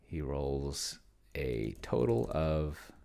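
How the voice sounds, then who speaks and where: A man giving casual live commentary as he plays a tabletop game solo, rambling and hesitant as he thinks out loud. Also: a bass voice, very slow, vocal fry. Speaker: solo tabletop game player and video host.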